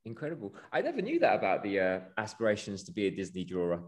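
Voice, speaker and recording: Male podcast host with a lowish voice; warmly effusive, winding, earnest questioner. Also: huge pitch swings, fast. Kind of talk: casual podcast interview.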